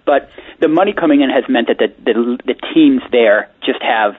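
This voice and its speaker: An American man who works as a sports media consultant, talking quickly and chattily as a radio guest. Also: mid-range voice, slightly rough, no room sound. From United States. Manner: fast, chatty, anecdotal. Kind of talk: radio talk interview. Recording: tinny phone line.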